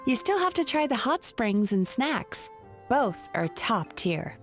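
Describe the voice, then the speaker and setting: A composed, polite spirit woman from a video game. Her voice sits fairly high and she speaks in a thoughtful, measured way, with faint music behind her. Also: sing-song. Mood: grateful, friendly. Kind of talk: video game character acting.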